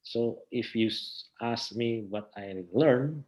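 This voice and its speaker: A man with an Indonesian accent giving casual interview answers in halting English, often repeating words as he goes. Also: medium pitch, darkish tone. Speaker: interview guest, theater researcher and actor.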